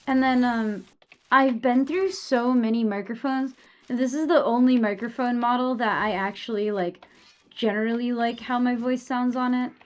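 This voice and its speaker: A young woman talks casually on a live stream with a somewhat high voice. She rambles, leans on "like" fillers and swears now and then. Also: steady tone, moderate pace.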